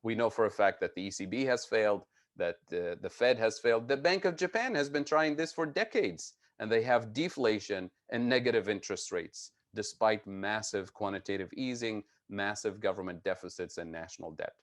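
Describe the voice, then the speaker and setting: A man from Tunisia giving an explanatory economics presentation, speaking deliberately and emphatically and repeating phrases for stress. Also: fairly low pitch. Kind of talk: explanatory lecture with slides.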